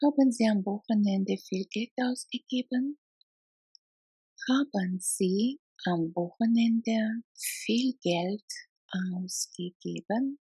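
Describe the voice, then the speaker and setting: A woman reading short practice sentences, each followed by a slow repetition split into chunks. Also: medium pitch, mellow, breathy edge, dry recording, poor audio. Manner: reads each sentence, then repeats it slowly in chunks. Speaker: language teacher. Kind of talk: slow phrase-by-phrase drill reading.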